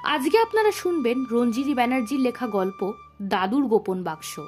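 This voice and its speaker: A woman's voice: on the high side, animated, normal speed, a little mellow, soft background music. A woman hosting a story podcast, delivering a scripted introduction and outro.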